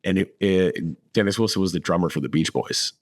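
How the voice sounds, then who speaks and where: A man with a very deep voice that has gone hoarse, chatting casually on a podcast. He spins lively stories and breaks into laughter often. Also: brisk, no echo, studio quality. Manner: animated storytelling, laughs often. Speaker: podcast co-host. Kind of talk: casual chat.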